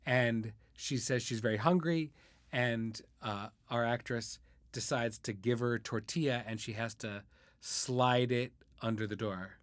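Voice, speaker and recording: A man hosts a casual interview show. His voice sits at an ordinary middle pitch, recorded dry with very little room around it. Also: a little slow, no room sound. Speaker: podcast host.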